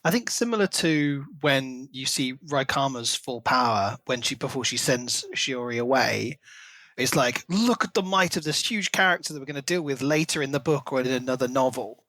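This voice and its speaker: A man's voice: mid-range voice, animated, normal speed, sharp tone, dry recording. A man co-hosting a podcast, discussing a book in a casual, informal way. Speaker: podcast co-host.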